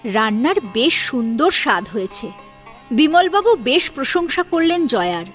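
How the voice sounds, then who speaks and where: A woman narrating a scripted domestic drama as a storyteller, with an expressive, dramatic delivery. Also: high-pitched, unhurried. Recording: music behind the voice.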